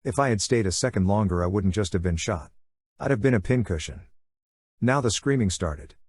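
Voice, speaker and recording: Husky low-voiced man narrating an adventure audiobook. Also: lively intonation, fairly quick, darkish tone, no echo, studio quality. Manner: conversational, punchy short phrases, acts out sound effects. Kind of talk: first-person dramatic audiobook narration of a young adult novel.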